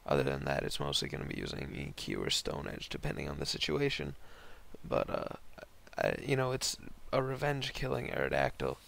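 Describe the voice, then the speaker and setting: Gravelly, hoarse young man doing casual game commentary. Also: rumbling low, expressive, moderate pace, fairly bright tone. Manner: rambling, slangy, laid-back. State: hoarse, voice partly lost from concert the night before. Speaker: host narrating online game battles.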